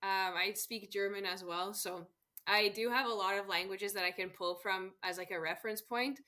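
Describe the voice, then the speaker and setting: A woman's voice: mid-range voice, steady tone, moderate pace, bright, forceful tone. A woman from Canada, a guest on an interview show, chatting casually about travel and laughing often. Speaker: guest, solo travel expert.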